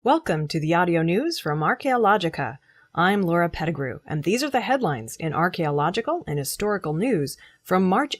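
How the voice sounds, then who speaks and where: A woman's voice: fairly low pitch, breathy edge. A woman reading scripted news stories as a narrator. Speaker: news reader.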